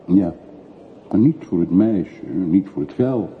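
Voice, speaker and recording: An actor playing a reserved, reflective middle-aged emigrant returning home in a radio play, speaking in a measured, reticent way. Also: male voice, deep voice, animated. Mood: wistful. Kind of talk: radio drama acting. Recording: muffled, noisy old radio play recording.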